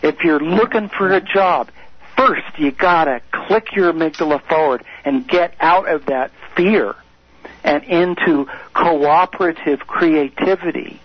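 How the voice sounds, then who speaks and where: A man's voice: high voice, husky. A man speaking as a guest on a radio call-in show, coaching listeners in an emphatic, repetitive way. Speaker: guest expert on the brain. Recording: dull lo-fi radio audio.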